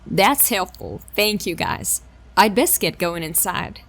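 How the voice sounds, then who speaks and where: A woman's voice: lowish voice, wildly swooping pitch, slow, vocal fry, fairly bright tone, heavily acted. A woman playing a polite, determined young detective in a sci-fi audio drama. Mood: resolute.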